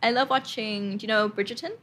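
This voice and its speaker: Bright, chatty young actress. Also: female voice, fairly high pitch, steady tone, quick pace. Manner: bubbly, chatty, lots of "like" fillers. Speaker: actress guest. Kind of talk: casual interview chat.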